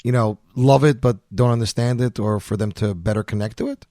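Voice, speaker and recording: A man's voice: on the low side, fairly quick, dark tone, dry recording, clean studio sound. A man hosting an interview, asking long, eager questions and interjecting with agreement. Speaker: interview host.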